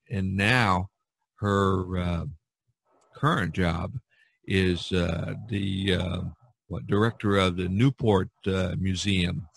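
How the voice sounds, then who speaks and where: A man's voice: deep voice, gravelly, a bit bright. A man hosting a casual podcast chat over a video call, rambling with many fillers and restarts. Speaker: podcast host.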